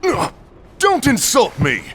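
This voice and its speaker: An actor playing a gruff, scornful, confrontational man in a video game drama, sneering and deliberate. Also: extremely high pitch, huge pitch swings, a bit bright, exaggerated voice. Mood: indignant. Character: gruff, hot-tempered, scornful man in a bear mask. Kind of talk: dramatic game cutscene acting.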